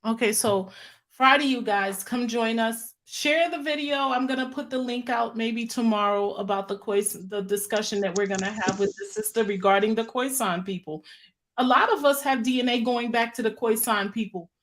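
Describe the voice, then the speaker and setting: A woman hosts a live online talk show in a chatty, upbeat way, speaking straight to her viewers. Her voice sits slightly high. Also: normal speed, fairly bright tone. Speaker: host.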